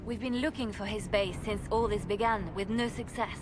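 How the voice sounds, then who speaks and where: A woman plays a composed adviser in a fantasy game. Her voice is medium in pitch and businesslike, with music underneath. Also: moderate pace, natural voice. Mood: serious. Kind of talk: dramatic fantasy game acting. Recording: music behind the voice.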